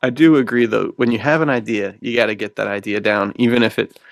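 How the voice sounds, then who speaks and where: A man's voice: on the low side, fairly even intonation, fast talker, gravelly, no room sound. A man co-hosting a podcast, chatting casually and telling self-deprecating stories in colloquial language. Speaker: podcast co-host and writer.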